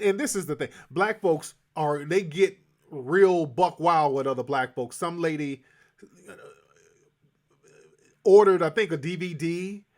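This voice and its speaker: A man hosting a call-in show who lectures in a blunt, emphatic way. His voice sits a little high for a man. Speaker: host.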